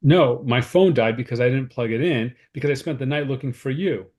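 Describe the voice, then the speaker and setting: A man's voice: mid-range voice, fairly quick, a little mellow, close to an everyday voice. A man acting an irritated, worried, uptight cousin, blunt and exasperated, in a film script read.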